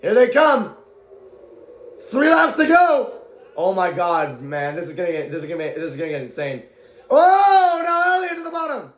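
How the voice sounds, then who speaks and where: High-voiced excitable male race commentator. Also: moderate pace, reverberant. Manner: excitable, often shouting. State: voice going hoarse from strain. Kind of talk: excited live play-by-play commentary.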